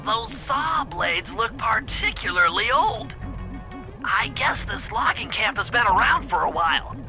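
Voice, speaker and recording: A man's voice: very high voice, animated, a little slow, over music, full character voice. A man voicing a brainy, nerdy mission planner in a video game, with precise, wordy, formal phrasing. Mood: explanatory, keen.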